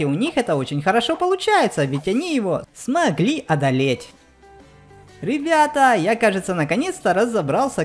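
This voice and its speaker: A woman co-hosting a children's gaming channel, giving expressive, storytelling let's play commentary. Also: mid-range voice. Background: background music.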